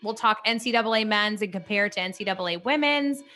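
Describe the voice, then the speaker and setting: Bright, chatty woman in her early thirties. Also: medium pitch, quick pace. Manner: chatty, enthusiastic. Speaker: co-host of a sports podcast. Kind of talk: casual sports chat.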